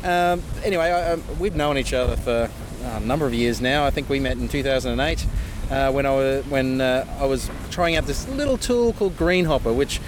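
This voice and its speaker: A man interviews a guest at a fast, chatty clip. He stumbles through fillers and restarts. Traffic and street noise run clearly behind him outdoors. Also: mid-range voice. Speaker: podcast host. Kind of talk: casual interview chat. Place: outdoors near a river and traffic.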